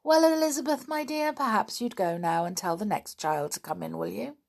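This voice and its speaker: A woman's voice: mid-range voice, moderate pace, vocal fry, pitched above the performer's own voice. A woman voicing a cheerful young schoolmistress. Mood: kindly. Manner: warm and laughing.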